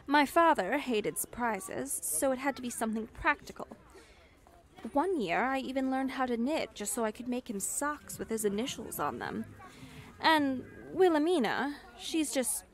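A woman playing a high-voiced, unhurried confident young witch. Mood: friendly, chatty. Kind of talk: ASMR roleplay acting.

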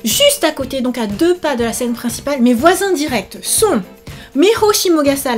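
A woman in her thirties chatting casually to camera in an animated, exclamatory and enthusiastic manner. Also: sits high. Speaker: author and YouTuber promoting her book. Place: small indoor room set up for filming.

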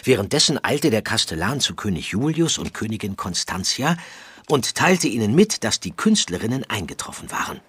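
A man's voice: on the low side, big pitch swings, moderate pace, fairly bright tone, breathy edge, exaggerated voice. A man narrating a children's audio drama as a storyteller, in scripted storybook style. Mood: storytelling. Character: storybook narrator telling a children's ghost tale.

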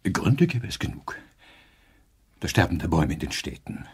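An actor playing a cautious, thoughtful older professor in a radio drama, speaking earnestly and hesitantly. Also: male voice, deep voice, big pitch swings, moderate pace, husky, heavily acted. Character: cautious older male professor, father, thoughtful civil servant. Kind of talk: German radio drama acting.

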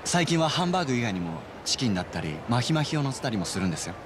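An actor playing a polite, helpful young man in a video game cutscene, speaking in a courteous, measured, formal way. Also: medium pitch, very animated, noisy background, natural voice. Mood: polite, friendly.

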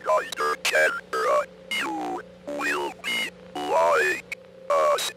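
A cold alien being from analog horror, with an abyssally deep voice. It speaks extremely slowly and deliberately, full of menace, with a hard bright edge. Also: fairly even intonation, soft background music, full character voice. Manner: slow, deliberate, ominous. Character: inhuman, menacing alien life form, coldly deliberate.

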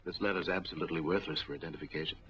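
Very deep, officious bank officer. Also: male voice, fairly even intonation, racing, a bit bright. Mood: probing, skeptical. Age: middle-aged. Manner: probing, clipped, officious. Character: formal, skeptical middle-aged male bank officer. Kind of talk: 1940s film acting. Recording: noisy, dull 1940s film soundtrack.

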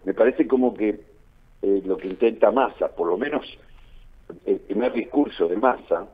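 Argentine male pundit, deep and rough-edged, emphatic repetition, narrow telephone-quality sound. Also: normal speed. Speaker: political analyst being interviewed. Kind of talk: radio interview analysis. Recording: narrow phone-like line, muffled.

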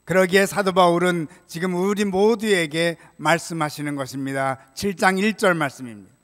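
Older man, pastor, high-pitched voice, measured solemn sermon delivery, long church reverb, pulpit mic. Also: fairly bright tone. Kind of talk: sermon, read from prepared text. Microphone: gooseneck pulpit microphone. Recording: reverberant church hall sound.

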